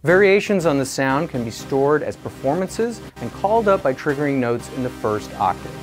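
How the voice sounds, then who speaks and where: A man presents a scripted product review with a mid-pitched voice that moves expressively up and down. His delivery is clear and explanatory, like a presenter walking viewers through features. Faint music sits behind him. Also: big pitch swings, moderate pace.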